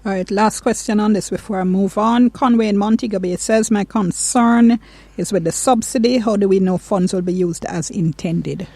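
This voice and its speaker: A woman hosts a radio talk show, brisk and conversational as she reads out listener questions. Her tone is mellow and warm. The sound is dry and close. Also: mid-range voice, husky, no echo.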